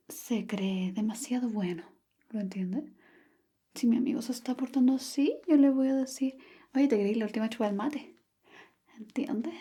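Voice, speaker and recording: A woman whispering softly and teasingly, quizzing the listener on Chilean slang in an ASMR roleplay. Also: fairly high pitch, husky. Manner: slow, soft, teasing like a teacher. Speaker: Spanish slang teacher.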